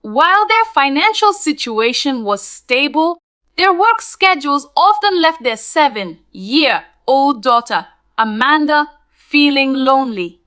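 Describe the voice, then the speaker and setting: A woman narrating a spooky scripted tale in a dramatic, deliberate storytelling manner. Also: high voice, sing-song, sharp tone, dry recording, studio quality.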